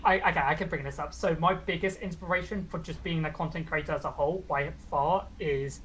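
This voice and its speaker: A man's voice: on the high side, fairly quick, a bit bright, a little hiss or hum, low-quality recording. A young British man with a regional accent, a VTuber guest chatting casually and rambling with lots of fillers. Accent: British, non-London regional. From Britain. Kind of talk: casual interview chat.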